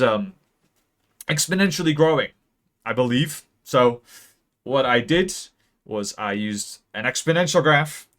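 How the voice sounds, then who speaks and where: A young man in his late teens gives a deadpan mock lecture about a graph. He rambles with many ums and speaks close to a mic that sometimes peaks. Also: medium pitch. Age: young adult. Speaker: video creator. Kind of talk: mock-serious graph explainer.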